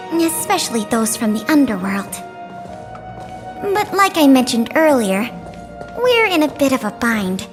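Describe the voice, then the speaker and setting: A polite, earnest young woman guiding visitors in a video game. Her voice is high and very animated, eager and friendly as she explains. Faint music plays underneath. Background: faint background music.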